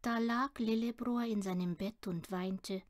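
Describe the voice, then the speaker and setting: A woman reading a children's audiobook with expressive storytelling narration. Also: medium pitch, a little slow. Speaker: audiobook reader.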